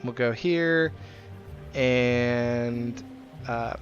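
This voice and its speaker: A man's voice: medium pitch, drawn-out words, lo-fi sound. A man live streaming a game, chatting casually and in a friendly way with viewers as he plays. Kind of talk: casual game livestream chat. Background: music.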